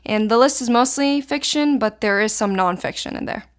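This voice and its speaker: A teenage young woman from Israel, a native Hebrew speaker, answering informal interview questions as a high school student. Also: moderate pace. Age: teens.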